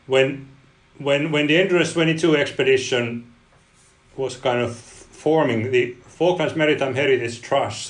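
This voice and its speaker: A man whose first language is Finnish, a guest researcher answering interview questions in a halting way, often repeating words. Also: medium pitch, echoey room. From Finland. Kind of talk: podcast interview.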